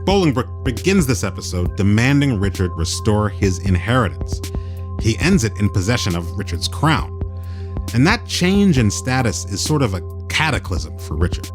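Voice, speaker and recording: A man's voice: on the low side, sing-song, normal speed, faint music behind. A man hosting a radio program, mixing scripted narration with a conversational delivery. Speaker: radio host.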